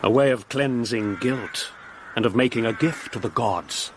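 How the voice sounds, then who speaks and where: An actor playing a grandiose, fanatical murderer in a radio play, speaking in solemn, incantatory phrases. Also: male voice, mid-range voice, measured pace, slight background noise, close to an everyday voice. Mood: ritual, hypnotic. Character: grandiose, fanatical murderer luring his child victim.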